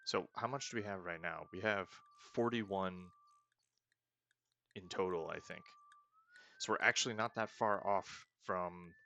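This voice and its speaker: A man's voice: deep voice, measured pace, pauses often, bright, forceful tone, slight background noise. A man casually talking through a roleplay video game as the host, thinking aloud and often restarting his sentences. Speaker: let's play host. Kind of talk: casual gameplay commentary.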